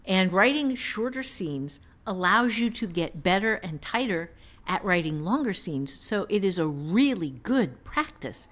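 A woman giving unscripted teaching talk on a podcast, thinking aloud in a halting manner. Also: mid-range voice, lively intonation, a bit bright. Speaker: writing teacher, author.

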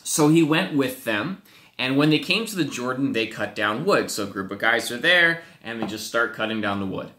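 Bright-voiced young pastor teaching kids. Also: male voice, mid-range voice, normal speed, echoey room. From Wisconsin, USA. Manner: animated storytelling with playful acted-out voices. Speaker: youth pastor. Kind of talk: children's Bible lesson. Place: home office, indoors. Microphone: webcam at desk.

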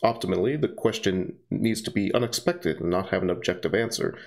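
A man voicing a poised, precise young woman student who explains things in a measured, clinical way. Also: low-pitched, expressive, vocal fry. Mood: composed.

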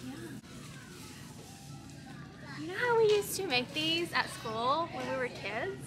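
A chatty woman from Hong Kong hosting a casual shopping vlog with an animated manner. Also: high-pitched, slow, over music. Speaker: vlog host. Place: large retail store. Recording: busy store background noise.

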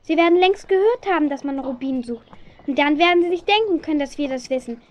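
Very high-voiced sensible teenage girl. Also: animated, fast. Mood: worried, reasoning. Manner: earnest, reasoning. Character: sensible teenage girl, the detective's sympathetic friend. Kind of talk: German radio play acting for young listeners.

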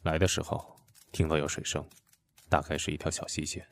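A man voicing a deep, gravelly stoic swordsman. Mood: calm, terse. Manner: terse, flat, unhurried. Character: stoic, taciturn young male swordsman with no memory. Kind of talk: fan audio drama acting.

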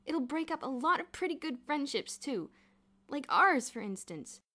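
A woman's voice: medium pitch, animated, moderate pace, close to an everyday voice. A woman voicing a brash, scheming schoolboy in an audiobook story, eager, emphatic and insistent. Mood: bitter.

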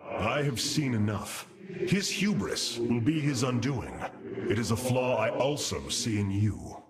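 A man's voice: medium pitch, lively intonation, a little slow, heavy background noise, close to an everyday voice. An actor playing a noble, resolute male alien leader in a video game, speaking in a solemn, formal, declamatory way. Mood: stern. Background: loud orchestral game music.

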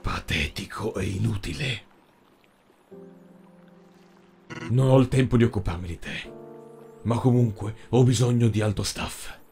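A man voicing a cruel, mocking dark tentacled overlord in an animated fan dub, speaking with menacing mock politeness. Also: on the low side, moderate pace, vocal fry. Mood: mocking, threatening. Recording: music behind the voice.

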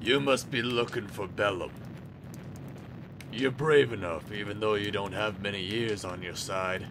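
A man voicing a grave, stoic blacksmith guardian in a cartoon parody, measured and formal. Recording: noisy recording with low rumble.

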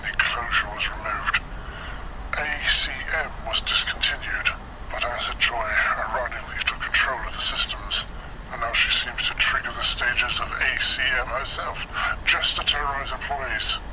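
An actor playing a grim scientist survivor, narrating research logs for a game in a hushed, breathy, measured and dramatic way. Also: male voice, medium pitch, fairly even intonation, noisy background, close to an everyday voice. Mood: grave, clinical. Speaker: narrator of in-game cassette recordings.

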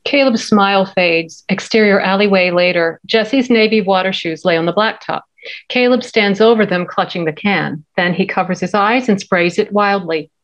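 A woman's voice: medium pitch, moderate pace, dull audio. A middle-aged woman reading stage directions from a script as narration. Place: home office, video call.

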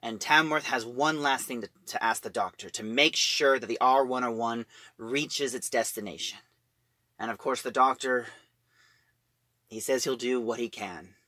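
A man giving a casual, informal story recap in an animated storytelling way with dramatic pauses. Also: medium pitch, no echo, studio quality. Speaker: reviewer.

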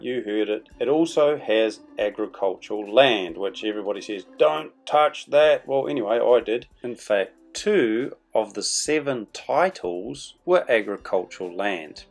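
A man's voice: mid-range voice, darkish tone. Middle-aged Kiwi man telling a story. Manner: chatty, colloquial, playful. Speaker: vlog host. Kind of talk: casual vlog storytelling voice-over. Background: background music.

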